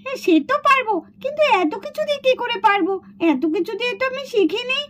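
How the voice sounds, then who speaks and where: A woman voicing a bossy, chatty, excitable schoolgirl doll in a children's puppet cartoon. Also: very high-pitched, fast talker. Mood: eager. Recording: dull lo-fi sound.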